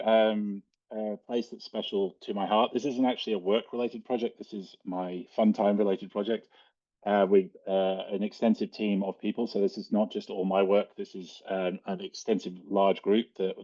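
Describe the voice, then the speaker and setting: A man with an Australian accent giving an informal slide presentation in a halting, hesitant way, with frequent ums. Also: fairly low pitch, steady tone, dark tone. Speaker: guest speaker, cave and mine diver. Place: online video call. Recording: dull, lo-fi online call audio.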